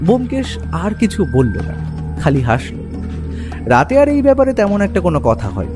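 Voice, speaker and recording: A Bengali man narrates a detective audio story in a dramatic, scripted style. His voice sits fairly high and moves with lively intonation, with music clearly playing underneath. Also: moderate pace.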